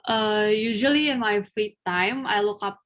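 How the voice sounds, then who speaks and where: A woman's voice: medium pitch, a little slow, fairly bright tone. Young woman, student, mock speaking test, hesitant, many fillers. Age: young adult. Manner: hesitant, frequent filler sounds. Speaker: test candidate, then examiner.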